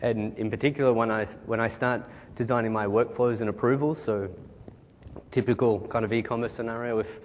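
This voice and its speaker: Hoarse man presenting on a conference stage. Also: steady tone. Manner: quick, informal, kind-of fillers. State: losing his voice, coughing. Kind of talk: tech conference talk. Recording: hall reverb, faint rumble.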